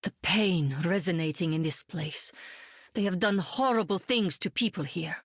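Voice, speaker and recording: A powerful sorceress in a video game, speaking at a middle pitch with dry sarcasm. Her lines are crisp and close, with no room around them. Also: normal speed, no echo. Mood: wry.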